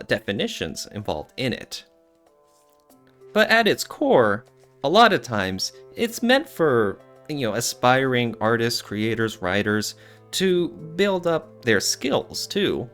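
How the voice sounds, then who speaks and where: A man's voice: medium pitch, a bit bright. A man co-hosting a podcast in casual discussion, often searching for his words. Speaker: podcast co-host.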